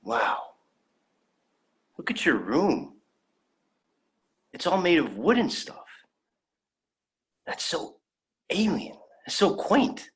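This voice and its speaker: A man's voice: a little high, huge pitch swings, slow pace, long pauses, fairly bright tone, full character voice. A man acting an eager, naive young alien visitor in a sci-fi drama, wide-eyed and blithe. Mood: awed, delighted. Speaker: actor. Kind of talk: dramatic fan fiction performance.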